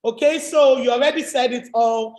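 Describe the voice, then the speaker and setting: A woman with a deep voice, giving an emphatic, motivational webinar talk as a business coach. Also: moderate pace, a little mellow. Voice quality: deep, low voice. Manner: emphatic, repetitive, rallying. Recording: echoey room.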